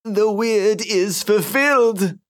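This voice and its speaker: A man voicing an eerie, vengeful old Scottish woman in dramatic, drawn-out dialect for a storybook tale. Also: high voice, pitched above the performer's own voice. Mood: exultant, vengeful.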